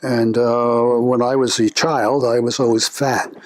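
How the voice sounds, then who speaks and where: An older man being interviewed about his family, speaking in a reflective, unhurried way with frequent pauses and filler words. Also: medium pitch, little pitch movement. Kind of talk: family oral history interview.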